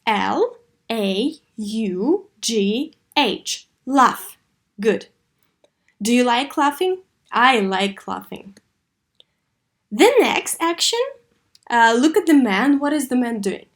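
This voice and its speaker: High-voiced woman teaching children English. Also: animated. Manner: didactic, asks questions and answers them herself; slow, deliberate enunciation of key words. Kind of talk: scripted online lesson addressed to young learners.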